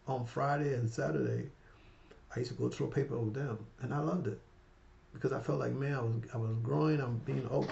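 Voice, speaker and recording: A man in his fifties with a gravelly, dark voice talks to camera in a loose, rambling, heartfelt way. He keeps checking in with his viewers. The sound is a little dull, like a plain home video recording. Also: fairly high pitch. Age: 50s. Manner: rambling, repeats "right?" and "you guys"; warm and heartfelt, emotional about his mother. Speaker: finance vlogger. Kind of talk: casual motivational talk to camera.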